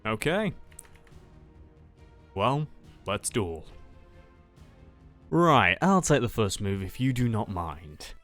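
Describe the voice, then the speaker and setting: Male player as jaded final-year duel student. Lowish pitch, muttered asides, sardonic, loose improvised game roleplay over faint music. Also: sing-song, slow, pauses often, a bit bright, full character voice. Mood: casual, awkward. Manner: hesitant, rambling, muttering asides. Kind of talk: casual improvised Minecraft anime roleplay.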